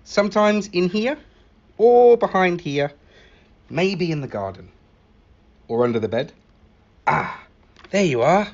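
Animated man reading a picture book, slightly muffled. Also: sits high, slow, gravelly, pauses often. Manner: animated, acting out exclamations and calls. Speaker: library staff story reader. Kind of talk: expressive picture-book read-aloud.

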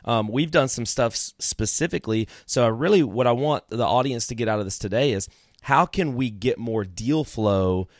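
A man's voice: medium pitch, expressive, moderate pace, no room sound, studio quality. Upbeat man hosting a podcast. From Alabama. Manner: upbeat, enthusiastic. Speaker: podcast host. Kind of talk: casual interview. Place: office with banner backdrop, indoors. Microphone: studio mic in front, earbuds, video call.

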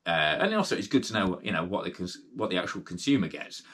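A man's voice: low voice, sing-song, fast talker, a bit bright, breathy edge. A middle-aged British man hosting a casual cycling gear review late at night, chatty and rambling with lots of fillers and restarts, sounding tired. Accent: British English. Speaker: cycling channel host. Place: indoor workshop with brick wall and bikes.

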